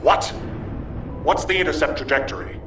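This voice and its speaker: An actor playing a serious, authoritative military commander in a video game, firm and formal. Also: male voice, on the high side, big pitch swings, moderate pace. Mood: serious, commanding. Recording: roomy, distant, music behind.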